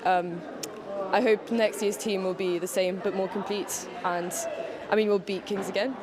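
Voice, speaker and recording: A young woman fencer answers questions after her match in an upbeat way. Her naturally loud voice is partly worn from yelling, and she speaks at a middle pitch. A crowd is clearly heard behind her. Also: steady tone, moderate pace. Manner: upbeat, self-admittedly loud. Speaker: fencer being interviewed. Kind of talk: post-match interview. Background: crowd noise.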